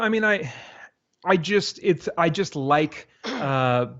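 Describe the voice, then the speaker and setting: A man being interviewed, answering casually and thinking aloud in a rambling way, often voicing his own thoughts as quotes. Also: a little high. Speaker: interview guest, creator of a radio drama. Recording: dull, lo-fi interview audio.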